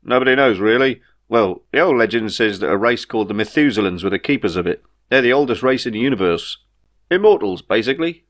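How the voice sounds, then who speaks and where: Man voicing an animated, easygoing spaceship pilot. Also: mid-range voice, quick pace, natural voice. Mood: explaining, matter-of-fact. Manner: animated, chatty, reassuring. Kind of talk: audiobook character dialogue.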